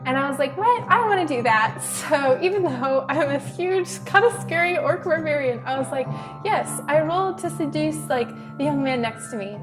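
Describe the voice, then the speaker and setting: A woman's voice: high voice, animated, normal speed. A woman in her twenties telling stories in a casual interview, chatty, with many fillers. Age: 20s. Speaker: club member. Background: background music.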